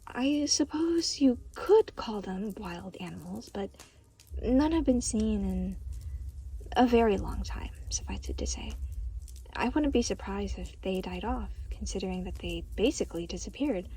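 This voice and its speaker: A woman voicing a gentle, caring and slightly awkward deity in an audio roleplay, soft-spoken and hesitant, with a breathy voice. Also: mid-range voice, lightly acted, low-quality recording. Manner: soft-spoken, hesitant, polite, motherly endearments. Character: gentle, awkward, caring deity who comforts souls in limbo. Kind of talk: audio roleplay addressed to the listener.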